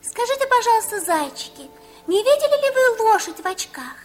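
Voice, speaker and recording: A wide-eyed magpie girl in a piping, extremely high voice. She is polite and excitable, in the manner of an old radio fairy tale. Faint music plays behind. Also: fairly quick. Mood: polite, anxious. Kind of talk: Soviet children's radio play acting.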